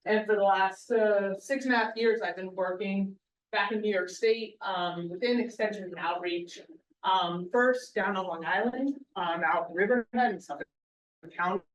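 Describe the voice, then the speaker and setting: A woman's voice: medium pitch, normal speed, a little hiss or hum. A woman speaker addresses a live conference audience in a big, projecting voice. Her delivery is chatty and conversational, and she stops to quiz the listeners. The sound is roomy and distant, caught by a mic set well back from her. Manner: loud, projecting voice. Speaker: guest speaker, soil scientist. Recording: roomy hall, mic far off.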